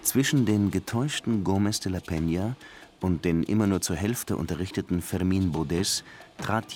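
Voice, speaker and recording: An actor playing a brusque, sardonic police detective in a German radio crime drama. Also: male voice, deep voice, darkish tone, a little hiss or hum. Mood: brooding narration. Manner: commanding, biting interrogator. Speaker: lead actor and first-person narrator.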